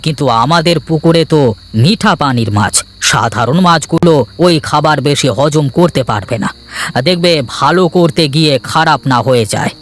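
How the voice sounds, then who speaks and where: A man's voice: a little high, animated, moderate pace, a bit bright. A man playing a thrifty, opinionated elder brother in a village cartoon. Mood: lecturing. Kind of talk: cartoon voice acting.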